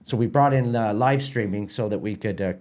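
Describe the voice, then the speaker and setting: Middle-aged Canadian man, halting and conversational. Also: lowish voice, a little mellow. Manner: halting, repeats words as he explains. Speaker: theatre company president, interview guest. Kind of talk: casual conversational interview.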